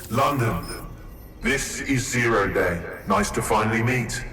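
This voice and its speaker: Menacing anonymous game villain, male actor: ominous, dragging pace, echoing broadcast sound under loud music. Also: mid-range voice, natural voice. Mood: mock-friendly menace. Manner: slow, ominous, deliberate. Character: menacing anonymous hacker villain addressing a whole city.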